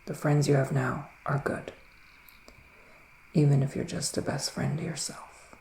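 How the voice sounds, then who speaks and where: A man speaking softly in an ASMR roleplay as a psychic witch, often near a whisper, musing slowly with little hums. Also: on the high side, little pitch movement, gravelly, echoey room, a little hiss or hum. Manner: slow, hesitant, musing, with murmured hums. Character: gentle, mystical male witch giving readings. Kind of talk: soft-spoken ASMR roleplay, partly whispered.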